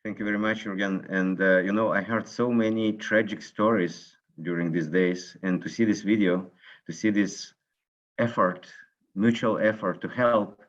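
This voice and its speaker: A man giving an unscripted update as a guest coordinator on an online call, speaking haltingly in short pieces with muffled sound. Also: lowish voice, fairly even intonation. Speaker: guest, aid agency coordinator.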